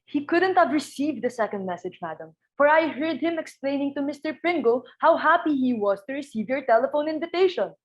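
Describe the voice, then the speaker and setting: Composed, dutiful servant played by a woman, high voice, formal and deferential stage-comedy delivery. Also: expressive, fairly quick, few pauses, muffled recording. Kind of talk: dramatic reading of a stage comedy.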